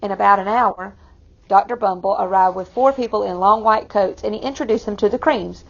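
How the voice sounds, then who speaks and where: A woman reading a picture book aloud to children, expressively, pausing to explain it in simple asides. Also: medium pitch, creaky, highs cut off. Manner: expressive, explains the story to young listeners. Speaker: read-aloud storyteller for children.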